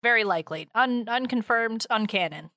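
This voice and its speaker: A woman's voice: fairly high pitch, normal speed, pushed and bright, no room sound, studio quality. A woman talking casually and conversationally on a podcast. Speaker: podcast co-speaker. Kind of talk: casual chat.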